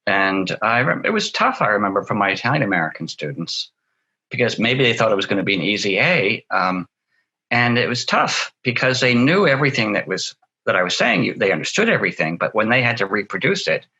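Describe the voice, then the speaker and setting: A man being interviewed as a translator, talking in a relaxed, chatty way, often saying "you know" and laughing easily. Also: lowish voice, brisk, breathy edge, highs cut off.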